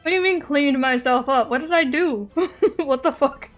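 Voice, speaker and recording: A woman's voice: high-pitched, normal speed. A woman streamer chatting casually and playfully with her viewers while she plays a game. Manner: playful, giggly, reading and answering chat. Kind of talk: casual livestream chat while gaming. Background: game music.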